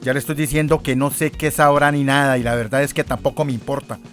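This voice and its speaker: Man acting a brisk, stern priest. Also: mid-range voice, level tone, soft background music, close to an everyday voice. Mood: stern, impatient. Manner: peremptory, curt, formal. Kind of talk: audio drama acting.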